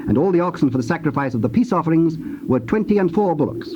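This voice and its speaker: A British man narrates scripture in a formal, measured way, with a fairly high voice. The recording is a dull old cassette with clear background noise. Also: animated.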